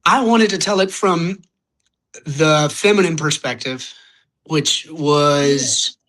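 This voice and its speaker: A man's voice: a little high, slow pace, fairly bright tone. A young man from Louisiana with a Louisiana accent, a podcast guest chatting casually, rambling a little and searching for words as he talks. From Louisiana, United States. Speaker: podcast guest, singer-songwriter. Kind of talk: casual interview chat.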